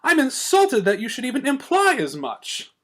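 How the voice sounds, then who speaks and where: A man's voice: very high voice, huge pitch swings, moderate pace, pitched above the performer's own voice, full character voice. A man voicing a grand, gushing, romantic female unicorn in a story reading, theatrical and haughty. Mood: dramatic, indignant. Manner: theatrical, haughty, effusive, says "darling".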